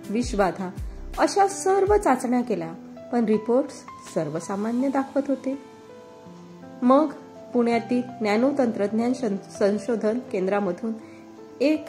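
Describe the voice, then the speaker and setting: A woman narrating a scripted moral story in a dramatic, expressive storytelling manner. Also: fairly high pitch.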